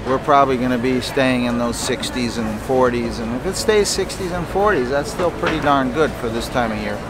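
Bearded man explaining outdoors, loud traffic. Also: medium pitch, moderate pace. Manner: relaxed, explanatory, chatty. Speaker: farmer. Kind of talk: casual on-camera farm update. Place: outdoor city farmers market stall. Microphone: handheld camera close up. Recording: loud street noise outdoors. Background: traffic and market bustle.

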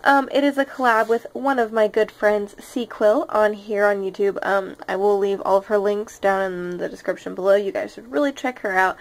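A woman's voice: mid-range voice, steady tone, moderate pace, few pauses, rough recording. A young woman with a nasal, slightly sick-sounding voice, chatting casually and rambling with many ums and likes as she hosts her art channel. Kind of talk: casual voice-over chat over a speed paint.

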